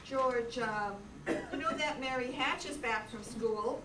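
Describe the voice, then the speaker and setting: Echoey noisy, lady playing gentle older mom nudging her son, fairly high voice, radio play. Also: takes it slowly. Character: George's gentle, matchmaking older mother. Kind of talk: old-time radio drama acting. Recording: noisy echoey room, distant mic.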